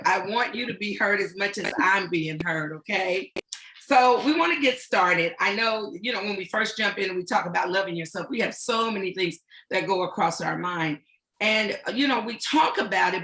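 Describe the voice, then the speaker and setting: A woman in her sixties hosts an online panel with a booming, encouraging delivery. Her tone is bright and forward. She sounds like she is in an echoey room over a video call. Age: 60s. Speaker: host. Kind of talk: hosting an online panel chat.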